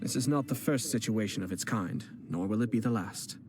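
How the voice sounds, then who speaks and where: Guy voicing a smooth scheming young noble, lowish calm voice, polite and measured, game music behind. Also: natural voice. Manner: measured, poised and courteous. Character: young noble clan head, calm, cunning strategist. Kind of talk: video game voice acting. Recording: game dialogue with music underneath.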